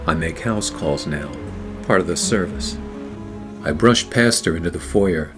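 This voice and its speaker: A man performs a hardboiled gumshoe from a noir radio play. His low voice is wry and deadpan as he narrates, with music playing clearly underneath. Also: measured pace. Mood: uneasy, wry. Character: hardboiled, wisecracking 1940s male private detective narrator.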